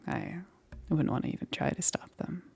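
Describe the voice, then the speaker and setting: A woman playing a soft-spoken, coaxing, quietly menacing witch in an ASMR roleplay, often dropping into a breathy, hesitant whisper. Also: lightly acted. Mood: sly, wry. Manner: slow, hesitant, softly cooing.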